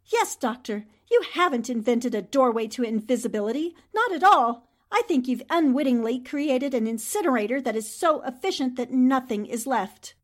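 An actress plays a plucky lady reporter in a vintage radio thriller. Her voice is high, and she delivers every line with emphatic, indignant drama. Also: lively intonation, normal speed, few pauses. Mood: outraged. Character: bold, indignant newspaperwoman confronting a villain. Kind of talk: 1940s radio drama acting.

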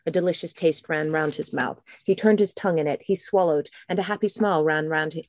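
An American woman in casual podcast chat who also reads a book aloud with animated storytelling. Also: low voice, brisk.

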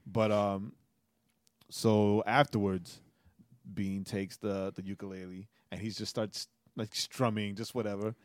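A man's voice: lowish voice, flat delivery, a little slow, no echo, clean studio sound. A man in his forties co-hosting a podcast, telling stories animatedly, laughing often and checking in with "right?". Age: 40s. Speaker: podcast co-host. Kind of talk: casual chat.

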